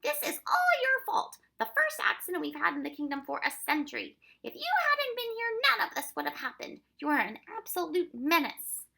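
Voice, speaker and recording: A woman acts out a tall, officious male courtier creature from a storybook. The voice is pitched high and bright, with big swings. He talks in a snappish, pompous, emphatic way and is easily exasperated. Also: sing-song, slow, creaky, few pauses, full character voice, low-quality recording. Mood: indignant scolding.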